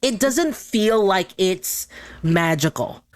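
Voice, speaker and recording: A woman's voice: mid-range voice, expressive, takes it slowly, dry recording. A woman in casual chat, conversational and a little tentative as she thinks aloud.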